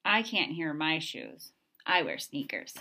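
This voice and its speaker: A woman reading a children's picture book aloud in an expressive way, with simple, deliberate phrasing for young listeners. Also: deep voice, creaky, heavy background noise. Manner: expressive, acting out sound effects. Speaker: storybook reader.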